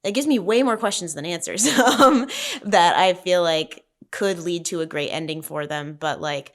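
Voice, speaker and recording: Female panelist, slightly low register, casual, thoughtful and halting delivery. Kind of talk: casual script discussion.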